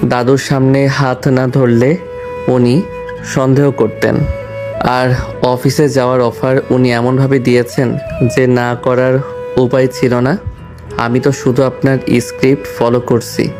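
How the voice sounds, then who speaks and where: Male actor as young husband, middling pitch, little intonation, polite, calm, measured, audio drama, music behind. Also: close to an everyday voice. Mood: calm, wry. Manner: deferential, measured, halting phrasing. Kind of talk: audio story acting.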